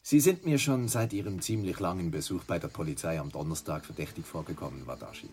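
Stern hotel proprietor, lowish voice. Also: male voice, fast, a little mellow, a little hiss or hum. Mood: coldly firm. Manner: coolly formal, accusing, cutting politeness. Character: stern, sarcastically polite male hotel proprietor. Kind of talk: German radio play acting.

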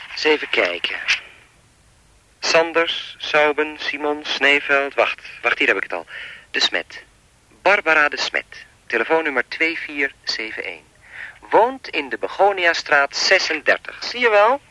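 A man's voice: mid-range voice, bright, forceful tone, no room sound, low-quality recording. An actor playing a chatty, lewd and menacing anonymous male caller in a radio play, insinuating and mock-polite as he rambles. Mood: quietly menacing.

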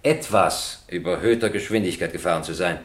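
A man plays a presiding judge in a radio crime play. He is stern, official and measured, with a fairly low voice. The hall echoes around his words. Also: natural voice. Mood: formal, stern. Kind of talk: German radio crime drama acting. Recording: roomy, echoing courtroom sound.

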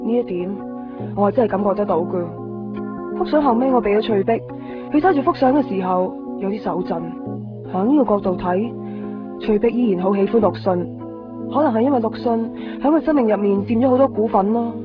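A woman's voice: medium pitch, fairly quick, lightly acted. An actress playing a wistful, observant young woman in a radio drama, with a Hong Kong Cantonese accent. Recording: muffled radio broadcast over music.

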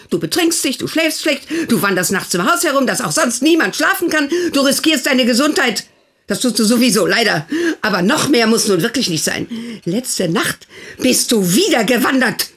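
A woman's voice: a little high, sing-song, normal speed, a bit bright, breathy edge, full character voice. An actress playing an older, protective and prying sister who questions her brother reproachfully in a radio play. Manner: reproachful, probing, insistent.

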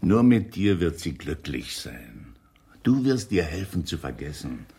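An actor playing an older, insistent uncle haunted by war memories in a radio drama. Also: male voice, extremely low pitch, very animated, measured pace, vocal fry, warm tone, slightly rough, full character voice. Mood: insistent, patronising. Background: prominent music.

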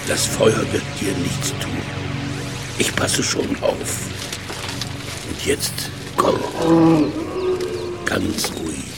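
A man in a radio play acting a gentle, eccentric animal lover, speaking softly, breathily and in formal, deliberate phrases. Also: deep voice, gravelly, over loud music, full character voice. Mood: soothing, hushed.

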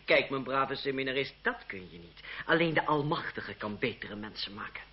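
An actor playing a cynical, witty young French lawyer in a radio drama, with an ironic, condescending, urbane manner. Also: male voice, mid-range voice, expressive, normal speed, creaky. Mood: dry irony. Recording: muffled, hissy old radio drama recording.

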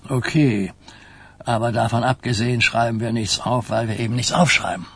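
An actor playing an old, weary and blunt New York mafia boss in a German radio crime drama, husky and grumbling. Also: male voice, medium pitch, fairly even intonation, moderate pace, lightly acted. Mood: dismissive. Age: older.

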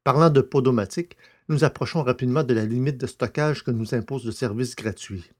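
A man's voice: mid-range voice, a little fast, a little mellow. A man with a mid-range voice hosts a podcast. He reads prepared announcements and introductions in the role of presenter.

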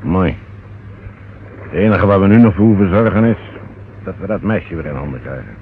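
An actor playing a shifty barge skipper in a radio drama, talking in an offhand, colloquial way. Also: male voice, deep voice, animated, normal speed, narrow, tinny audio. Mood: conspiratorial. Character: shifty male barge skipper, casually feigning innocence. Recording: thin, noisy old radio recording.

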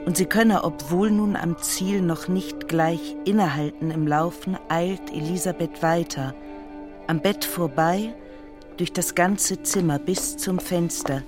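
A woman narrating a literary radio drama in a solemn, measured and dramatic manner. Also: fairly low pitch.

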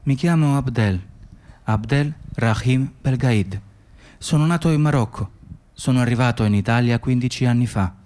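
An actor playing a weary, dutiful Moroccan immigrant hospital worker in a radio drama, speaking in a plain, insistent monologue. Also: male voice, mid-range voice, moderate pace, darkish tone, close to an everyday voice. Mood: grave testifying. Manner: clipped inner monologue and plain testimony.

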